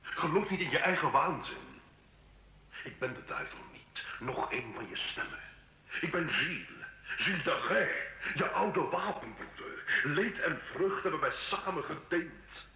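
A rough, loyal soldier pleads intensely, his pitch swinging widely. The theatrical radio-play delivery sits in an old, echoing, somewhat muffled recording. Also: very animated, measured pace. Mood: insistent. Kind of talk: old Dutch radio drama acting. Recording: dull, roomy old radio recording with noise.

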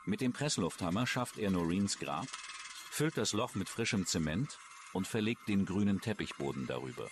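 A man's voice: sits low, expressive, normal speed, noisy background. A man reading scripted true-crime documentary narration as the narrator. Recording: music under the voice. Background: music.

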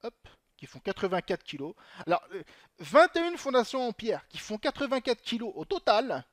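A man's voice: high voice, big pitch swings, moderate pace, a bit bright, no room sound, clean studio sound. A young man commenting on his gameplay in a chatty way, swearing often and talking to himself. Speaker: gaming channel host.

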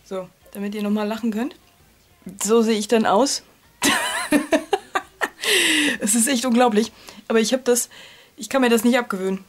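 A woman's voice: on the high side, steady tone, normal speed, pauses often, soft background music. A young woman with a cold, chatting casually and self-deprecatingly while doing her make-up in a small bedroom, recorded on a webcam. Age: young adult. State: has a cold. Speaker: vlogger. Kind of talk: casual make-up vlog chat. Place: small cluttered bedroom, indoors. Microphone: webcam at desk.

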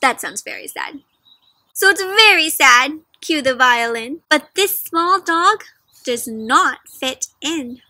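A young woman reads a picture book aloud for small children in a high, strikingly bright voice. She is animated and teacherly, pausing to put questions straight to her young listeners. The sound is dry and close, with very little echo. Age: young adult. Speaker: children's read-aloud host. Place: outdoors in a leafy garden.

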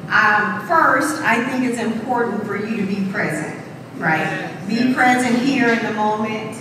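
A woman's voice: on the high side, measured pace, background noise. A middle-aged woman giving an encouraging welcome speech into a handheld mic at a podium, with fillers. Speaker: keynote speaker. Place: large indoor event hall. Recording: echoey hall from far off, music behind.

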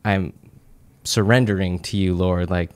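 Young man, low smooth voice, casual teaching. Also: a little slow. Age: young adult. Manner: relaxed, conversational, many "uh" and "like" fillers. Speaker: church teaching podcast speaker. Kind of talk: casual Bible teaching talk. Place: small office room, indoors. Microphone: studio mic on boom arm.

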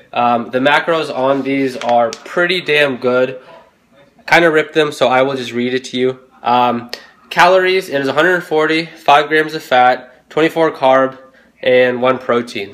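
A young man talking casually into a phone camera he holds at arm's length, chatting about his fitness routine and food prep in a relaxed, motivational way. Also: medium pitch. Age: young adult. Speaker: fitness vlogger. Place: condo gym, then home kitchen.

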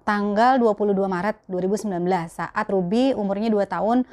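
A young woman from Jakarta speaks with a Betawi accent in an everyday mid-pitched voice. She chats colloquially to her viewers, answering their questions in a casual vlog style. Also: brisk, a little mellow. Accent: Betawi Jakarta Indonesian. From Jakarta, Indonesia. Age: young adult. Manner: chatty, colloquial. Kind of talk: casual chat to camera. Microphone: clip-on lapel mic.

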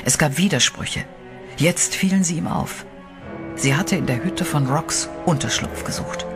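A man narrating a horror audio drama in a measured, dark storytelling manner. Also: a little high. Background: music.

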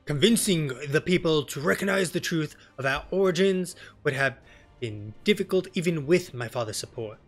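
A man voicing a grave, noble knight commander in a fantasy game, formal and measured. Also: on the high side, lively intonation. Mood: solemn, resolute. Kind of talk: fantasy game dialogue reading. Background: game music.

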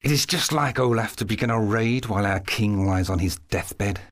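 An actor playing an earnest, bold, loyal young knight in a video game, with a resolute, theatrical delivery. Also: male voice, on the low side, moderate pace, close to an everyday voice. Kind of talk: video game cutscene acting.

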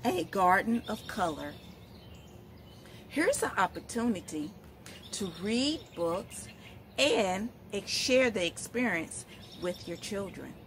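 A middle-aged woman reading a picture book aloud to young children, expressive and asking questions as she goes. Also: mid-range voice, slow pace, slight background noise. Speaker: storytime host, literacy educator. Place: outdoors, in front of leafy shrubs.

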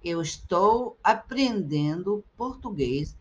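A woman's voice: mid-range voice, lively intonation. Woman, middle-aged, language teacher, careful articulation, slightly muffled webcam sound. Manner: slow, carefully articulated repetition. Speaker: Portuguese teacher. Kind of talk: slow repeated phrase drills for learners. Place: indoors, webcam in a circle overlay.

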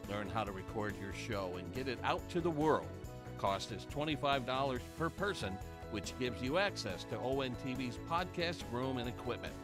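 A man reads a scripted promo announcement at an even, steady pace. Clear music plays behind his voice the whole way through. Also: mid-range voice, normal speed.

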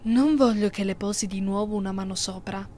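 A woman playing a haughty, possessive immortal vampire mistress in an ASMR roleplay, speaking slowly and imperiously, often close to a whisper. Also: medium pitch, mellow, a little hiss or hum, close to an everyday voice. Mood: jealous, stern. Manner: slow, imperious, condescending, often near whisper.

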